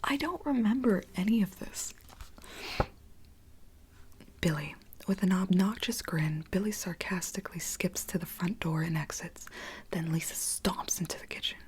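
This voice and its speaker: A woman's voice: fairly low pitch, steady tone, a little slow, pauses often. A woman whispering her way through a screenplay as ASMR, breaking off for chatty asides. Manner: chatty asides between scene lines.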